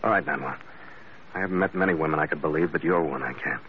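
An actor playing a tough, calm skipper turned detective in an old radio drama, terse and matter-of-fact, narrating and speaking in character. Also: male voice, very deep voice, quick pace. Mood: steady, probing. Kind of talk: 1940s-50s radio drama acting, first-person narration and dialogue. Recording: muffled, noisy old radio recording.

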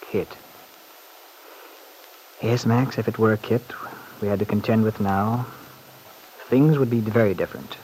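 An actor playing a wry, urbane, harried gentleman who speaks hesitantly in an old-time radio serial. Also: lowish voice, fairly even intonation, a little slow, pauses often, close to an everyday voice. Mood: rueful, reflective. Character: wry, harried, well-off gentleman confiding in his manservant. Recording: faintly noisy old radio recording.

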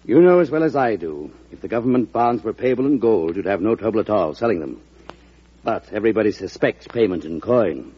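An actor playing a wealthy, shrewd and haughty banker in an old-time radio drama, measured and pompous. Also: male voice, on the low side, lively intonation, slightly rough. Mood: smooth, skeptical. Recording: muffled old radio recording.

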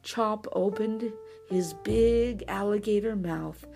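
A woman narrating a children's picture book in an animated storytelling style, over soft music. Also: mid-range voice, slow, gravelly. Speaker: storyteller reading children's books.

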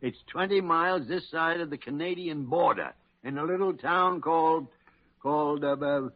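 An actor playing a crusty, blustering older senior doctor in an old radio drama, gruff and stammering as he hunts for words. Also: fairly high pitch, normal speed, close to an everyday voice. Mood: grumbling, emphatic. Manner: gruff, grumbling, stammering when searching for words. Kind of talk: 1940s radio drama acting. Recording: muffled vintage radio recording.

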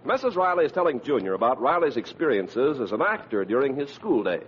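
A man's voice: mid-range voice, very animated, brisk, slight background noise. A man announcing in the style of a 1940s radio advertisement. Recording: muffled old radio recording, music behind.

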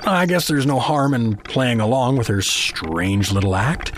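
A man's voice: mid-range voice, very animated, a little slow, slight background noise. A man playing a bewildered, awkward middle-aged average dad in a comedic audio drama, hesitant and rambling. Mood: awkward, puzzled. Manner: hesitant, rambling, easily flustered.

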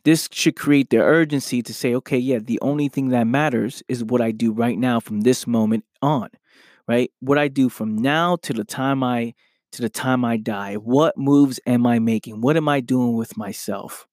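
Male podcast host, a bit hoarse, earnest motivational talk, close dry mic, mid-range voice. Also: medium pitch, fairly even intonation, moderate pace, darkish tone, no room sound. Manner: earnest, repeats "right?" often. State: slightly hoarse from losing his voice.

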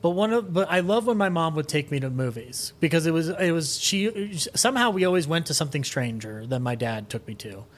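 A man's voice: a little high, expressive, fairly quick, few pauses. A man chatting casually as a podcast guest, emphatic and enthusiastic, often restarting mid-thought as he gives his opinions. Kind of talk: casual opinionated chat about music and film.

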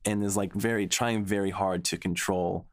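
A man's voice: low-pitched, steady tone, normal speed. A man talking casually and thoughtfully as a writer on a behind-the-scenes podcast, often feeling his way through phrases and repeating words. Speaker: podcast guest, series writer.

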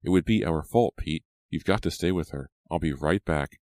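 A man's voice: rumbling low, normal speed, a little mellow, no room sound, clean studio sound. A man voicing a worried but determined teenage girl, earnest and insistent, in an audiobook story.

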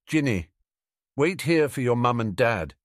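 A man voicing an urgent, forceful schoolboy wizard who is insistent and commanding, in a storybook reading. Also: medium pitch, expressive, a little slow, dry recording, clean studio sound, lightly acted.

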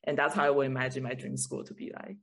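A woman's voice: extremely low pitch, flat delivery, fast. A woman from Taiwan speaking as a guest in a conversational podcast interview, explaining her views in a reflective way. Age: adult, parent of a school child. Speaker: guest, teacher and artist.

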